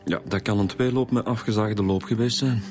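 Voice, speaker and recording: An actor with a Flemish accent playing an easygoing, observant police detective in a radio drama. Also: male voice, lowish voice, fairly even intonation, fairly quick, music in the background, close to an everyday voice. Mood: calm.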